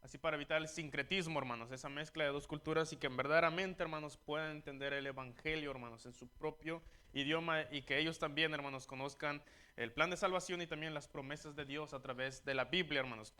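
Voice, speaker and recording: Missionary guy talking to a church, kinda high pitched, earnest, says brothers constantly. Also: steady tone, fast, pushed and bright. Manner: earnest, says "brothers" in nearly every sentence. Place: church sanctuary.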